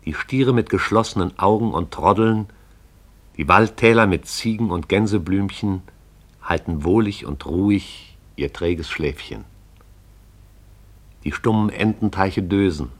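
A man narrates a poetic radio drama in a lyrical, measured way. His voice is very deep and somewhat husky. He lingers over the images and savours them.

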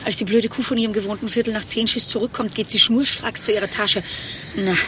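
An actress playing a catty, self-possessed secretary in a satirical radio play, speaking in a theatrical, smug manner. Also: female voice, mid-range voice, fairly even intonation, fast talker, noisy background, close to an everyday voice.

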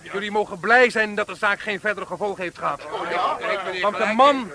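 An actor playing an educated, reasonable, talkative gentleman in a Dutch radio play. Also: high-pitched, moderate pace, crowd noise. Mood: placating, firm. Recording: noisy old radio play recording.

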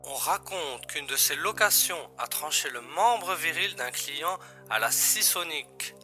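Piercingly bright-voiced boss played by a man. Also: a little high, animated, measured pace, soft background music, heavily acted. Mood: wry, explaining. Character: male boss briefing his agent, urgent and wry. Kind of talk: French science-fiction audio drama acting.

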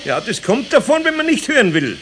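A man's voice: very high voice, huge pitch swings, brisk, a bit bright, full character voice. An actor playing an older, grumbling but good-hearted master craftsman with a Bavarian accent in a 1970s children's radio play. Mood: stern, knowing. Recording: vintage radio play, faint workshop noise.

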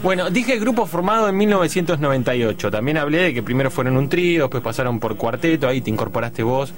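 A man with a Rioplatense accent hosting a radio program, introducing guests and chatting in a relaxed, joking way. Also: mid-range voice, fast talker. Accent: Rioplatense Spanish. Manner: relaxed, joking, rambling host. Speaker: radio host. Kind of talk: casual radio chat. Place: radio studio.

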